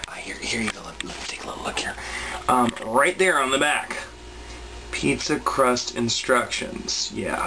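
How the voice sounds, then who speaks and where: A man's voice: mid-range voice, noisy background. Chatty man cooking in his kitchen. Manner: chatty, self-mocking, playful asides. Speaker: home cooking vlogger. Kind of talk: casual cooking demonstration. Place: small home kitchen, indoors. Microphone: handheld camera mic, close. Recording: home camera with kitchen clatter.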